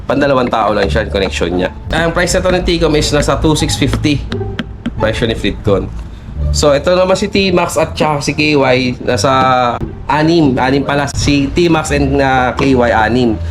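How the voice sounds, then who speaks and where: A man's voice: mid-range voice, expressive, normal speed, noisy background. A Filipino man working as a shop salesperson, explaining products in a polite, conversational way and mixing in English terms.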